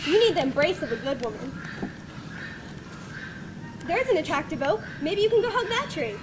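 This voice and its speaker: A woman's voice: very high-pitched, sing-song, moderate pace, heavy background noise. A woman reading the part of a wry man who teases and mocks his hermit friend. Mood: teasing. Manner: teasing, mocking and wry. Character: teasing man, worldly city friend and critic. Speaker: reader in a dramatic reading.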